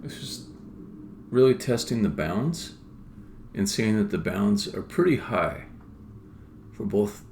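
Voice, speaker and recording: A roomy recording with faint noise carries a man's very low voice. He is a developer live-streaming his coding, talking casually and half to himself in short remarks. His delivery has a marked creak to it. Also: lively intonation, slow pace, long pauses, darkish tone. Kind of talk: casual live-coding commentary, thinking aloud. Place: indoor room at a computer. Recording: roomy echo, faint background noise, keyboard typing.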